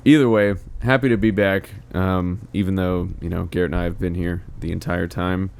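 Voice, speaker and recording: Low-voiced young man hosting a podcast. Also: steady tone, normal speed, gravelly, clean tone. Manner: easygoing, laughs often, steers the talk. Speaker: podcast host. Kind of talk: casual chat.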